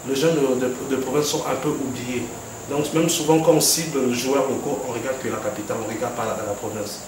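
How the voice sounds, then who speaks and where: A man's voice: medium pitch, fairly even intonation, a little fast. A man, the organizer of a project, speaking earnestly at a formal meeting with frequent fillers. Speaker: organizer of a football academy project. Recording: very echoey room, mic far off, background noise.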